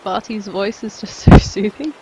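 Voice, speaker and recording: A man's voice: high voice, moderate pace, dull audio, heavy background noise. A man with a general Australian accent, giving casual live-stream commentary while playing and reading chat aloud with wry, dry remarks. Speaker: game streamer. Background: game music.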